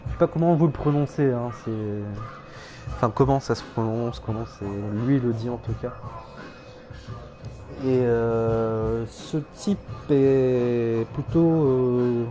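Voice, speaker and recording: A man's voice: medium pitch, fairly even intonation, slow, dark tone, soft background music. A man streaming a game, rambling hesitantly with many fillers and self-corrections, with dry irony. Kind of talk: casual rambling game commentary.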